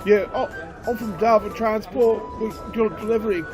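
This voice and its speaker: A casual traveler, acted by a man in a fantasy radio play. The voice is high and everyday, with clear music behind it. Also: fairly even intonation, normal speed, lightly acted. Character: casual male delivery traveler visiting a tavern. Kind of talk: fantasy radio drama acting.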